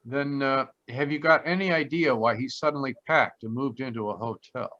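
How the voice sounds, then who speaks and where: A dull, lo-fi video call carries a bright, forward voice: a wry private detective from 1940s radio played by a man. He is blunt and deadpan, with a dry wisecracking streak. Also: mid-range voice, normal speed, natural voice. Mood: brisk questioning.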